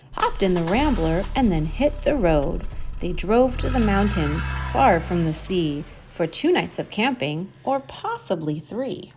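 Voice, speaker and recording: A woman reads a rhyming picture book for children at a slow, unhurried pace. Her pitch sits in the middle for a woman, and she narrates expressively, stretching out key words as a storyteller does. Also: darkish tone, slight background noise. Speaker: storybook reader and host.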